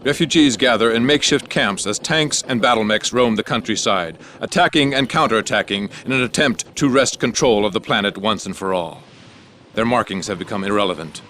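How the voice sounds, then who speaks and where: Male war reporter, lowish, forceful, dramatic, intense field report, faint battle noise behind. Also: fairly quick. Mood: grave, intense.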